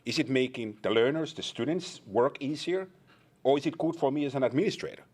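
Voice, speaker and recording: A man's voice: medium pitch, fairly quick, a little mellow. A man from Finland with a Finnish accent, addressing a conference audience with wry humor and questions. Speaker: conference presenter. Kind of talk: conference panel talk.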